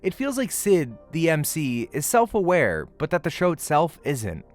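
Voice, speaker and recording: A man's voice: on the high side, huge pitch swings, normal speed. A man narrating a scripted but casual review as a video host, in a conversational, emphatic and playful way. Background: music.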